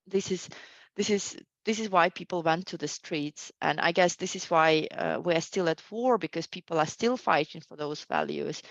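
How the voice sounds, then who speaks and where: A woman's voice: on the low side, no echo. Hesitant woman historian on a dull call. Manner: hesitant, many fillers and restarts. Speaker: guest historian. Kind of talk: academic interview answer.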